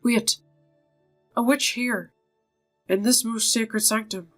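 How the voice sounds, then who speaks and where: A woman's voice: on the high side, fairly even intonation, takes it slowly, faint music behind. Woman reading a stuffy, pompous sanctum keeper. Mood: indignant.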